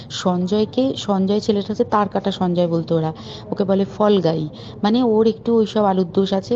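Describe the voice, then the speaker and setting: A woman's voice: medium pitch, dark tone. Muffled, noisy call, indignant woman. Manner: emphatic, indignant. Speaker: caller. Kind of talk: informal spoken account on a phone call. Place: recorded phone call.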